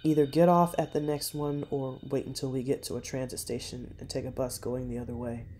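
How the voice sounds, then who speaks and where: A narrator reading a first-person true story in a measured way, pausing between short phrases. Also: medium pitch, little pitch movement, warm tone, slight background noise.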